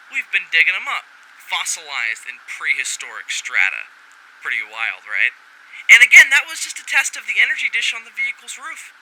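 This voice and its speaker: A man's voice: a little high, sharp tone, heavily acted, rough recording. A man playing a foul-mouthed, rebellious scientist in a sci-fi audio drama, delivering a rambling, wry and theatrical recorded log that keeps interrupting itself. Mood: wry, excited. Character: brash, foul-mouthed rogue male scientist, giddy and rebellious. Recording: faint background alarm and machinery noise.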